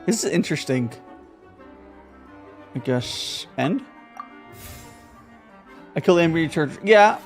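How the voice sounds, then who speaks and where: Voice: medium pitch, expressive, takes it slowly, long pauses, faint music behind. A streamer talking casually while playing a card game, thinking aloud with many fillers and repeated words. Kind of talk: casual live gameplay commentary.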